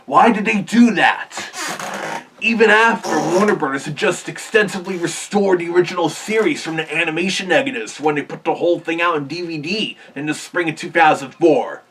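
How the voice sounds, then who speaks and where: A man's voice: fairly high pitch, moderate pace, recorded from a distance, noisy background. A man hosting a cartoon history video, earnestly explaining in scripted narration.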